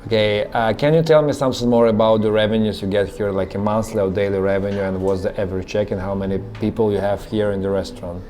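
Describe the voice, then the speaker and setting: Brief: male interviewer, warm, dark timbre, short direct questions. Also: fairly low pitch, little pitch movement, quick pace, few pauses, slight background noise.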